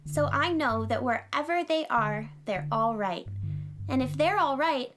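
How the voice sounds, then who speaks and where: A woman's voice: high-pitched, expressive, measured pace, fairly bright tone. A woman playing an earnest, optimistic young girl in a children's space radio drama, cheerful and sincere. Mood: tender, hopeful. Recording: music behind the voice.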